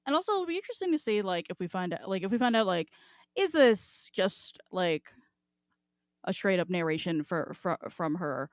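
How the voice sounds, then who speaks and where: A woman podcast co-host chats with a lively, animated swing in her pitch. Her manner is informal and sweary. The sound is close and dry. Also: moderate pace, dry recording. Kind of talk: casual podcast discussion.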